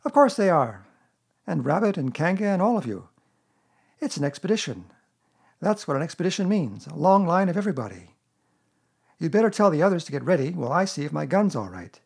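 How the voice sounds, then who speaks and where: A carefree, confident little boy from a storybook, played by a man. The voice is raised high and moves freely, breezy and sure of itself. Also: sing-song, a little fast, creaky, long pauses, slightly rough, heavily acted. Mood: self-assured. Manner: careless, self-assured.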